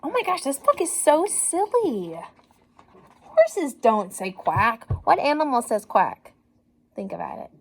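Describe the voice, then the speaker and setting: A woman reading a children's story aloud like a teacher, animated and encouraging, pausing to put questions directly to young listeners. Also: sits high.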